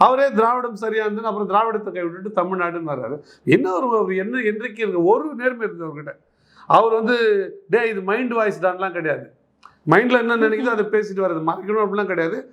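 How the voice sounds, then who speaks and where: A man speaks in a notably high-pitched voice. He comments on politics as an interviewee, pressing his case with emphatic repetition and pointed rhetorical questions. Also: a bit bright. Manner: argumentative, emphatic, repeats phrases and poses rhetorical questions.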